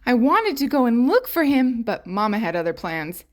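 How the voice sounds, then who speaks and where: A woman's voice: a little high, very animated, normal speed. Woman as an earnest, doting storybook little girl. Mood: worried.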